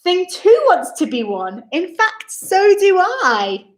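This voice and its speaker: Very high, animated woman reading to children. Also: slow. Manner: theatrical, exclaiming, addresses young listeners directly. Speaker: children's storytime host reading a picture book aloud. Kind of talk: expressive rhyming read-aloud for young children. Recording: roomy, echoing indoor sound.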